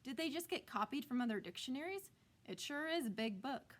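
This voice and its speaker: A woman's voice: sits high, lively intonation, a little fast. A woman reading a storybook as a crafty fifth-grade boy who asks eager questions with feigned innocence. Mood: feigned curiosity.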